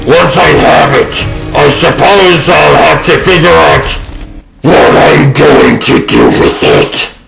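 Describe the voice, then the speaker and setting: A man's voice: a little high, very animated, slow, gravelly, reverberant, over music, full character voice, rough recording. A man playing a grandiose, self-doubting male supervillain in a comic dub, with theatrical, ranting delivery. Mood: musing.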